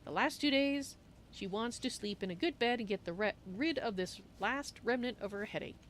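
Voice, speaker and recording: A woman voicing a gentle, fussy female cat-folk traveler in a game roleplay, musing aloud about herself in the third person. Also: a little high, normal speed, natural voice. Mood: weary, grumbling. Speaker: livestream roleplayer. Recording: steady background noise.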